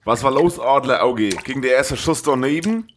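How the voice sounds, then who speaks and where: Brief: male reader as mouthy facility janitor, indignant and needling, medium pitch. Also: lively intonation, normal speed, a little hiss or hum, lightly acted. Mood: mocking, indignant. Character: cocky, needling janitor who protests his innocence.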